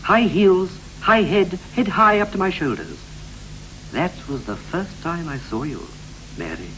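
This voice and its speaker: An actor playing a wistful British husband recalling his boyhood in clipped, poetic verse with deliberate pauses, in the style of a 1960s radio play. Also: fairly high pitch, expressive, a bit bright, low-quality recording. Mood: tender, haunted. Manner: incantatory short phrases, deliberate pauses. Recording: vintage 1960s radio recording with hum and hiss.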